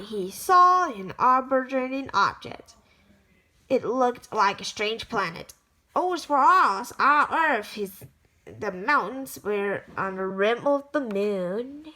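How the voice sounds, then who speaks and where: A woman reading a children's picture book aloud, storytelling with lively emphasis and the occasional stumble. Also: sits high, poor audio.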